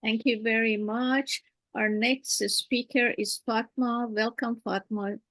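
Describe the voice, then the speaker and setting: A woman moderates an online panel, passing the floor with short, polite lines. Her voice sits fairly high and the sound is dry. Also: steady tone, takes it slowly, a bit bright, dry recording, rough recording. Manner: brief, polite hand-offs.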